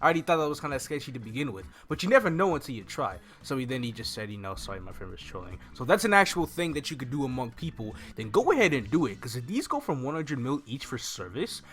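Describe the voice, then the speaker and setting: A man's voice: mid-range voice, sing-song, quick pace, hardly any pauses, a bit bright. A young man hosting a game walkthrough in a casual, rambling way, thinking aloud as he goes. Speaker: gaming video host. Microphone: microphone on a stand close in front of him. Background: game music.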